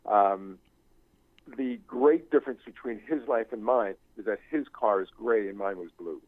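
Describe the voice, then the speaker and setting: An older man speaking as an interview guest in a reflective, conversational way, haltingly searching for his words. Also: on the low side, no room sound. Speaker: interview guest, novelist and screenwriting teacher. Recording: thin phone-like line.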